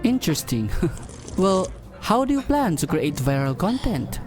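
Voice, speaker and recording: A Filipino company boss voiced by a man speaks over clear background music. He is reserved and businesslike, yet awkwardly flirtatious, as the male lead of a romantic audio drama. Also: high voice, sing-song, measured pace. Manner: businesslike, with smitten slips he covers up. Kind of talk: romantic audio drama acting, Tagalog-English mix.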